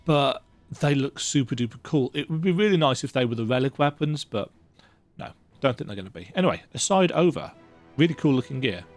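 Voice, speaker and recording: A man's voice: mid-range voice, animated, brisk, faint music behind. A man giving rambling, chatty commentary as he plays through a video game. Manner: rambling, self-interrupting, chatty. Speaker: gaming YouTuber. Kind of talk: casual game playthrough commentary.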